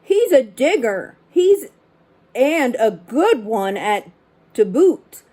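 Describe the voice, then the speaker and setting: A woman voicing a loud, bossy, blustery male pirate captain in a storybook, hollering hearty pirate talk. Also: a little high, big pitch swings, takes it slowly, exaggerated voice. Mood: impressed, hearty. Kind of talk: storybook read-aloud.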